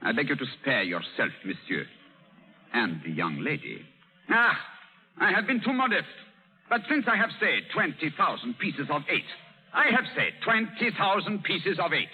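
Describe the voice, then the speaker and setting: Swaggering French pirate captain, old radio sound. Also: male voice, fairly high pitch, huge pitch swings, normal speed, bright, forceful tone, highs cut off, soft background music, heavily acted. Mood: threatening politeness. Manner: theatrical, mock-courteous, foreign phrasing. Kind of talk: 1930s-40s radio drama acting. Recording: band-limited old radio broadcast.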